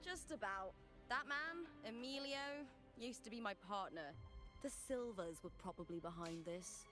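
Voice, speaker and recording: A woman's voice: fairly high pitch, animated, a little slow. A woman voicing a fiery, proud young female gladiator in a video game, blunt and brash with emphatic delivery. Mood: frank. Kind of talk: dramatic video game voice acting. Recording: game audio with music underneath.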